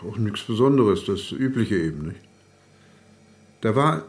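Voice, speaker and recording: A man's voice: fairly low pitch, lively intonation, a little slow. An actor playing a flustered, grumbling editor in his late fifties in naturalistic radio drama, with a hesitant, dryly sardonic way of talking. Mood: evasive, dry. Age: 50s. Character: flustered, grumbling 59-year-old male newspaper editor.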